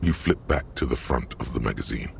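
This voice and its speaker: An actor playing the dry, erudite inner voice of a detective's mind in a video game, measured and drily ironic, with a gravelly tone. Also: male voice, animated, slight background noise, heavily acted. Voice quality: very deep, gravelly. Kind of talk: scripted narration of a video game. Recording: music behind. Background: game music.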